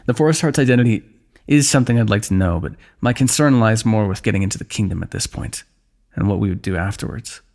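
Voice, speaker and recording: A man playing a weary, wry fantasy warrior in an ASMR roleplay, speaking in measured, formal phrases with dry teasing. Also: on the low side, creaky, darkish tone. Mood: resolute. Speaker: ASMR voice actor. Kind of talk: ASMR fantasy roleplay, one-sided dialogue.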